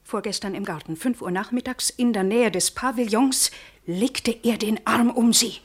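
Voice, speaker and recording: An actress playing a deferential, anxious informer of the household in a German radio play, with a hesitant, confiding manner. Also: female voice, on the high side, moderate pace, lightly acted. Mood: urgent disclosure.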